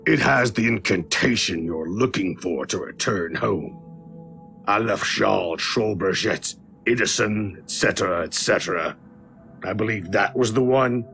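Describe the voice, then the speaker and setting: An actor playing a sly chained prisoner in an audio drama, a gravelly tempter who bargains in a taunting, persuasive way. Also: male voice, fairly low pitch, measured pace, a bit bright, soft background music. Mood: smug, calculating.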